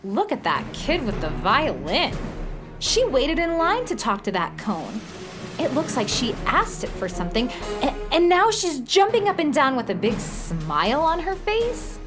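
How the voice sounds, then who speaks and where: A woman's voice: sits high, wildly swooping pitch, unhurried, over music, heavily acted. An actress playing a plucky, inquisitive girl assistant in a children's space radio drama, speaking expressively. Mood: curious.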